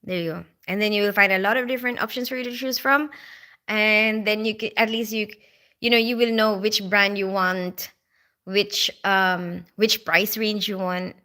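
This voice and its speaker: Bright-voiced chatty Filipino woman streaming live. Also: medium pitch, steady tone, moderate pace. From Philippines. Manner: chatty, lots of fillers like "like" and "you know". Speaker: livestream host. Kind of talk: casual livestream chat reading viewer comments.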